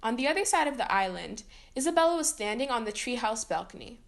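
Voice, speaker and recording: A woman reading a children's story aloud in an expressive way, acting out the dialogue. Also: fairly high pitch, fast talker. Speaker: storybook reader.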